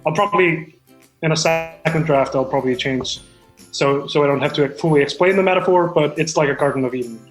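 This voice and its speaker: A man in a comedic table read, halting and self-important, defensively presenting his screenplay and reading stage directions. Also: fairly high pitch. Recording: roomy echo, music behind.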